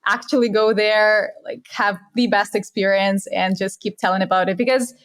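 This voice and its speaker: A woman's voice: medium pitch, fairly even intonation, moderate pace. Russian-accented young woman, podcast guest, earnest and reflective, a little non-native phrasing. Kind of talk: casual interview chat.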